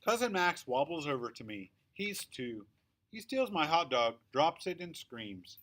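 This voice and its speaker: A man reading a children's story aloud in the first person, with expressive, emphatic storytelling. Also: on the high side, measured pace. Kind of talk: scripted children's book read-aloud, first-person narration.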